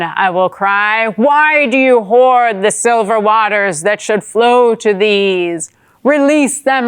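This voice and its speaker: A woman's voice: a little high, fairly bright tone, clear, resonant tone, dry recording, voice pushed up. A woman voicing a noble, sorrowful, devout fish in a dramatic poem, with a ceremonious, declamatory manner. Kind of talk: dramatic monologue poetry performance.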